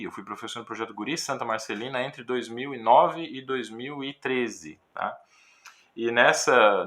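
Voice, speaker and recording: A man with a Brazilian Portuguese accent, a guitar teacher giving a casual, thoughtful talk with frequent pauses and fillers. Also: mid-range voice, bright, forceful tone. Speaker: guitar teacher reviewing a book. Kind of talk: casual spoken commentary.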